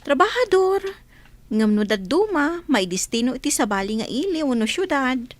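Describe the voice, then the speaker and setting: A woman's voice: sits high, big pitch swings, moderate pace, dry recording. A woman playing a cheerful, chatty young woman in an audio drama. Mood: coy.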